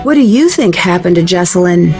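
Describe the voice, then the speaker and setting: A woman's voice: on the low side, animated, brisk, darkish tone. An actress playing a confident, inquisitive young woman detective in a video game, polite, direct and probing. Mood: polite, curious. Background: faint music.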